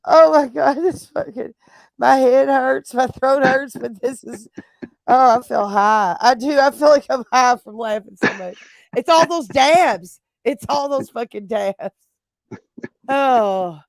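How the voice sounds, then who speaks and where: A woman's voice: sits high, a little slow, vocal fry, no echo. A woman co-hosting a live stream, chatting loosely and swearing freely. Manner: laughs a lot, loose and profane. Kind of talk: casual live-stream chat.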